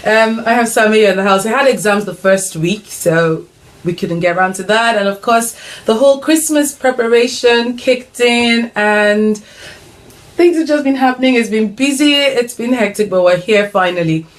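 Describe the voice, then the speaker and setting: A woman's voice: a little high, normal speed. A middle-aged woman from Nigeria hosting a casual chat show for mums, upbeat and playfully teasing, with frequent ums. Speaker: host of an online mums' group show. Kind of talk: casual chat, interviewing her son.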